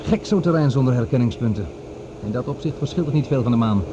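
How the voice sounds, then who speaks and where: An actor in a radio drama playing a commanding, stubborn doctor and scientist on Mars, speaking with authority. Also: male voice, mid-range voice, lively intonation, fast, lightly acted. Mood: hopeful, musing. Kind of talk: Dutch radio drama acting. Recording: dull noisy old radio recording.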